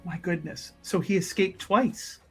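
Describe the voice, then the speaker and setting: A woman playing an inquisitive, easily astonished official in an improvised tabletop roleplay, speaking haltingly and dramatically. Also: lowish voice, a bit bright, breathy edge, full character voice. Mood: scandalized. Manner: halting, dramatic, repeats words for emphasis.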